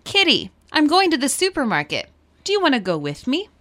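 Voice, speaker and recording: A woman reading English listening-test dialogues with a clear, exaggerated teaching delivery. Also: sits high, huge pitch swings, a little fast, pushed and bright. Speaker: dialogue reader.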